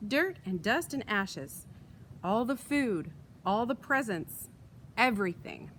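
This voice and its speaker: A woman's voice: fairly high pitch, lively intonation, takes it slowly, fairly bright tone, a little hiss or hum. A woman reading a children's story, voicing a wary, defiant boy with a firm, accusing manner.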